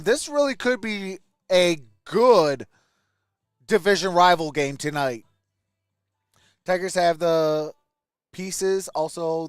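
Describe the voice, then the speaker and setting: A man with a smooth, clear voice hosts a casual sports livestream. He talks at a slow pace in a conversational way, responding to viewer comments. The sound is close and dry. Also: on the high side, no echo. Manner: greets viewers by name, conversational.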